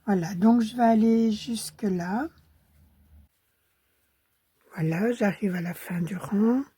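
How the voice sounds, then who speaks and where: A woman with a Belgian French accent, hoarse from a cold, calmly teaching a crochet pattern step by step and counting stitches under her breath. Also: mid-range voice, takes it slowly, no room sound.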